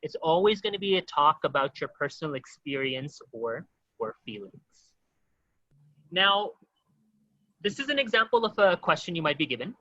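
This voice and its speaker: A man teaching an online exam-prep webinar, talking quickly and informally to his audience with many fillers. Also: a little high, sing-song, a bit bright, dull audio.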